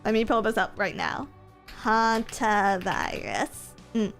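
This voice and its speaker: A woman's voice: medium pitch, very animated, slow, vocal fry, fairly bright tone, soft background music. A young woman streamer in casual chat with viewers, sniffly from allergies, rambling with lots of fillers and giggles.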